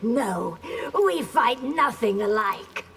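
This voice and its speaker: A woman playing a proud, threatening empress in a fighting game, speaking in an imperious, measured way. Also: on the high side, lively intonation, gravelly, a bit bright, a little hiss or hum, full character voice. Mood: imperious warning. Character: proud, threatening young empress of an otherworldly realm. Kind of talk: fighting game dialogue acting.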